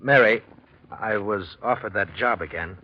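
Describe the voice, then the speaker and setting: An actor playing an uneasy, struggling painter in a 1940s radio drama, hesitant and earnest in delivery. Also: male voice, fairly low pitch, measured pace, husky. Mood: tender, discouraged. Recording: muffled old radio recording.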